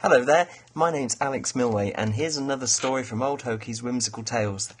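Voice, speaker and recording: A man's voice: mid-range voice, brisk. A man, an author reading his children's fairy tale as a storyteller.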